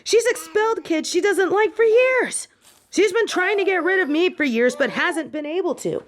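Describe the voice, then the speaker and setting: A woman's voice: very high-pitched, animated, moderate pace, voice pushed up. A woman playing a defiant, hot-headed young witch student in a fantasy audio roleplay, arguing bluntly and sarcastically. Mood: indignant.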